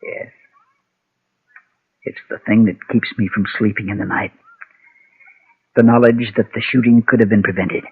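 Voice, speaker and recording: An actor playing a guilt-ridden former preacher and gunfighter, solemn and halting, in a Golden Age radio western. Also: male voice, on the low side, fairly even intonation, husky. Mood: haunted, sorrowful. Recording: muffled, thin vintage radio recording.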